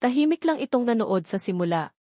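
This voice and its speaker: A woman narrating a scripted romantic drama in a measured, sentimental storytelling manner. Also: fairly high pitch, animated, warm tone, no echo, studio quality.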